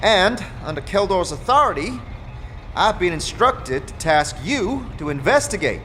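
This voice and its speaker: A man voicing a brisk, weary woman who supervises a foundry floor in a fantasy audio drama. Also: sits high, very animated, sharp tone, a little hiss or hum, pitched above the performer's own voice, heavily acted. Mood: weary, businesslike.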